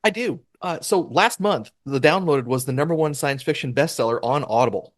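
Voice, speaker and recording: A man's voice: fairly high pitch, animated, fairly quick. A man co-hosting a podcast and putting a question to a guest in a conversational way. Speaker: podcast co-host. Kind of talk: interview questioning.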